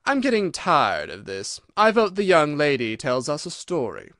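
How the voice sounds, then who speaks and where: A man's voice: on the high side, big pitch swings, moderate pace, a bit bright, no echo, clean studio sound. A man voicing a bored, bossy male hare in a storybook reading.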